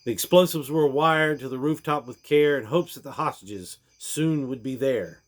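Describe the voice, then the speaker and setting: A man's voice: medium pitch, moderate pace. A man reading a rhyming storybook aloud in a theatrical, emphatic manner, swelling and then dropping away at the ends of lines. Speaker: reader of a parody Christmas storybook.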